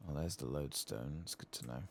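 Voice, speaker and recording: A man giving soft-spoken ASMR commentary on a game, sometimes dropping into a whisper. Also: a bass voice, brisk. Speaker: gaming ASMR streamer. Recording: faint keyboard and clicking noise.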